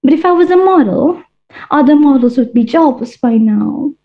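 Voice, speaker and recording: A woman's voice: high voice, expressive, moderate pace. A woman playing a vain, flamboyant female fashion-designer villain, with a theatrical, mocking drawl full of "darlings". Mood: grandly haughty. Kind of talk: stage monologue in character. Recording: dull lo-fi recording.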